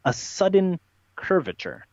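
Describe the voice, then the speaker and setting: Man, lively swooping delivery, opinionated podcast talker, slightly muffled sound. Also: sits high, unhurried. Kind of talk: conversational podcast discussion. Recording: dull, roomy, echoey podcast audio.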